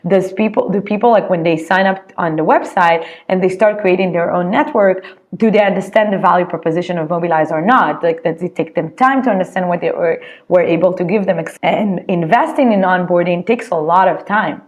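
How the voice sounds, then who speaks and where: A woman's voice: lowish voice, a little fast. A woman from Israel with an Israeli accent, a startup co-founder giving casual interview answers, full of "like" fillers and restarts. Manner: chatty, many "like" fillers and restarts.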